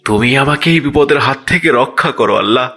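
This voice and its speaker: A man's voice: medium pitch, quick pace, a crowd in the background, close to an everyday voice. A man playing a greedy, envious shopkeeper in a cartoon fable, with an exclamatory, complaining, melodramatic delivery. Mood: remorseful, pleading. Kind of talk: cartoon voice acting.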